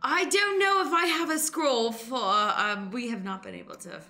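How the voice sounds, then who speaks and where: A woman playing an earnest, naive young noblewoman in an improvised comedy radio play, speaking in halting mock-formal lines. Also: fairly high pitch, bright, forceful tone, soft background music. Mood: earnest, confiding. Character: earnest, naive outcast young noblewoman who cannot read.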